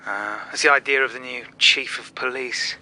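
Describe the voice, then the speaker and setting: Thin, bright-voiced terse police inspector. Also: male voice, mid-range voice, normal speed, a little hiss or hum, rough recording. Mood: dry, deadpan. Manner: terse, questioning, deadpan. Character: sober, dry male chief inspector on a case. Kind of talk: radio drama acting.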